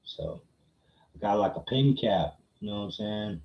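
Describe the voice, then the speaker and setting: A man with a fairly low voice tells a casual story to his livestream chat. He takes his time, using slang and repeating phrases to drive points home. The sound is slightly dull, with clear room echo. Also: lively intonation, moderate pace. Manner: slangy, repeats phrases for emphasis with frequent tag questions. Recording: muffled, roomy echo.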